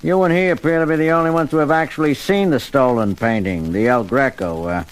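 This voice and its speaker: Guy doing a no-nonsense homicide captain, plain talking, average pitch, seventies superhero audio drama. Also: fairly quick, lightly acted, low-quality recording. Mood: businesslike. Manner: matter-of-fact, plain-spoken. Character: businesslike male New York police homicide captain. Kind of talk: 1970s superhero record audio drama acting.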